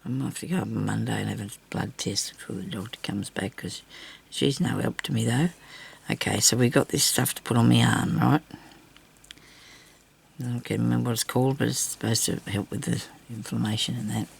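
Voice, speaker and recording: An older Australian woman with a low, husky voice roughened by a lingering cough, chatting casually and self-deprecatingly as she rambles through a craft video. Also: steady tone, a little fast, a little hiss or hum. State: lingering cough after weeks of sickness. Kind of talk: casual chat while hand stitching.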